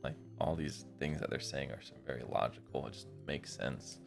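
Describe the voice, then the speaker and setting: A man live streaming, reading a visual novel aloud in a deep, gravelly voice, with casual, slangy commentary. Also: moderate pace. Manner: slangy, informal asides. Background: music.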